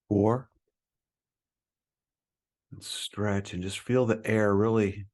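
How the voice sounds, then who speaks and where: A man with a fairly low voice guides a meditation at a very slow pace. His delivery is calm and soothing, leaving long, restful gaps between short phrases and counts. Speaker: meditation teacher.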